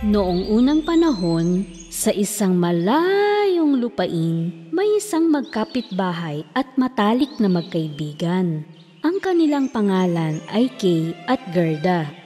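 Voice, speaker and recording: Mellow woman narrating fairy tales. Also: medium pitch, very animated, takes it slowly, long reverb tail. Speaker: storyteller, narrator. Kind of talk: scripted children's fairy tale narration. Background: faint music.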